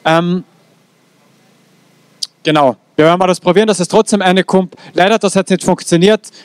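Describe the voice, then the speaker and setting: A man's voice: high-pitched, moderate pace, smooth, no room sound. A young man organizing an outdoor rally, loosely making announcements into a handheld mic on a town square. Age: young adult. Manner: loose, informal. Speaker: event organizer. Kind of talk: casual announcement.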